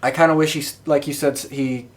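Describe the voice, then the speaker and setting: A man hosting a podcast in casual conversation, with a rambling, halting delivery. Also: on the high side, steady tone. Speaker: podcast host. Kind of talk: casual chat.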